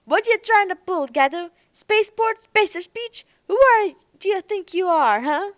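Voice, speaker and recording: A woman's voice: very high voice, animated, unhurried, no echo, highs cut off, pitched above the performer's own voice. A woman voicing a hostile young man leading a gang, sneering and taunting. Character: hostile young male Earther gang leader.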